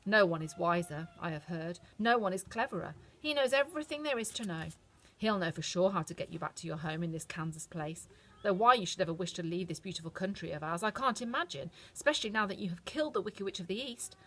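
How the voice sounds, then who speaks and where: A woman voicing a kindly, motherly old good witch who speaks in a gentle, reassuring way. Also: on the low side, lively intonation, fast talker. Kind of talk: storybook reading.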